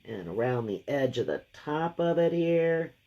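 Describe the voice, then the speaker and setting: A woman hosting a live craft painting session. She has a deep, gravelly voice left over from a cold, and she chats and thinks aloud while she works. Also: very animated, unhurried, mellow, lo-fi sound. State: lingering gravelly voice after a cold. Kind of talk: casual live painting demo.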